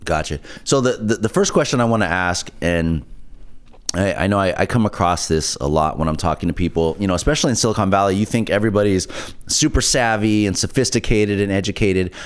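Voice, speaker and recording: A man's voice: low voice, a little fast. A man hosting a casual interview, talking his way around to questions and often checking in for agreement. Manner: roundabout, checks in with "right?". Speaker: interview host.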